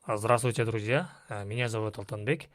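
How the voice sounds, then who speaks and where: A man's voice: lowish voice, a little fast, fairly bright tone. A man earnestly introducing himself to an online class and listing his interests, over a video call. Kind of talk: prepared self-introduction.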